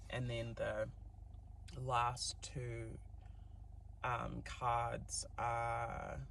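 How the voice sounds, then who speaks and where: NZ-accented man, chatty tarot reader, gravelly tone, faint background noise. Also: medium pitch, fairly bright tone. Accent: New Zealand. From New Zealand. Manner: chatty and giggly, many fillers. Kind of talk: casual tarot reading to camera.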